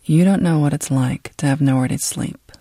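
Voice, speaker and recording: A reader acts out a stern immigrant father in a literary audiobook. He is bitter and scolding, holding his anger under control. The sound is close and dry, at a medium pitch. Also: normal speed, mellow, slightly rough, no echo.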